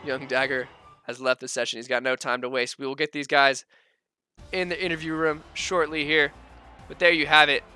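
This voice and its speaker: Male sim racing host, bright tone, strained sore voice, faint music bed under him. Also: fairly high pitch, moderate pace. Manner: energetic, upbeat, folksy. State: voice sore, losing his voice. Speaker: race commentator and host.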